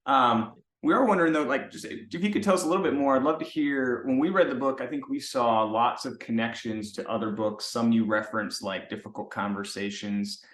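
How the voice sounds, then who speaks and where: A man's voice: medium pitch, a little fast, few pauses, fairly bright tone. A man co-hosting a podcast as a consultant, asking questions in a rambling, hesitant way. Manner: rambling, hesitant, searching for words. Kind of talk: casual interview chat. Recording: roomy echo.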